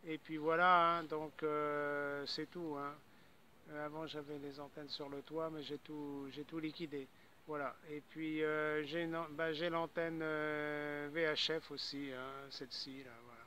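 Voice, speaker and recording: A man's voice: on the high side, level tone, unhurried, pushed and bright, slight background noise. A man chatting casually as an amateur radio operator, rambling and repeating his words as he goes. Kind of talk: casual ham radio chat.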